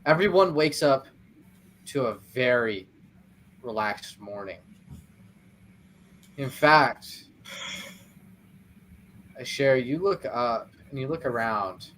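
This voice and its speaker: A man's voice: mid-range voice, expressive, slow pace, long pauses, fairly bright tone, a little hiss or hum, rough recording. A man acting as game master, who narrates a tabletop session and trades jokes with his players in casual banter. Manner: playful, teasing, cuts back to the game.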